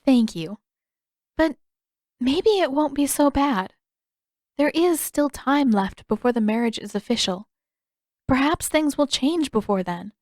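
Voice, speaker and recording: Woman voicing a gentle, regretful young princess, higher-pitched. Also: animated, unhurried, long pauses, dry recording, studio quality. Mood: gentle, hopeful. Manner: soft, polite, careful phrasing. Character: gentle, regretful teenage princess, earnest and formal. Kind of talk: audiobook character dialogue.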